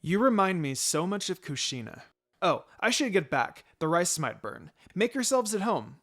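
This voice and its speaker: Man putting on a gushing motherly woman, raised pitch. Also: very animated, moderate pace, a bit bright, heavily acted. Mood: warm, gushing. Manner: gushing, sweet.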